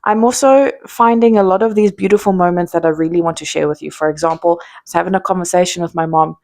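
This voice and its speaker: Woman, 30s, South African accent, unscripted vlog monologue, low-pitched, gravelly fry, meandering and candid. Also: quick pace, darkish tone. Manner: rambling, many ums and restarts, candid. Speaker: vlogger. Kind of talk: unscripted reflective monologue to camera.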